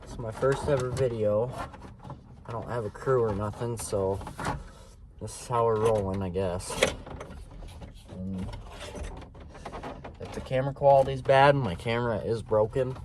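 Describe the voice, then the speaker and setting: A man's voice: medium pitch, steady tone, a little slow, pauses often. A man giving a casual hands-on tutorial in an informal, unpolished way, thinking aloud as he works. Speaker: amateur video host. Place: in and around a pickup truck. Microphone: phone camera mic. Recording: noisy phone recording, vehicle noise, clicks.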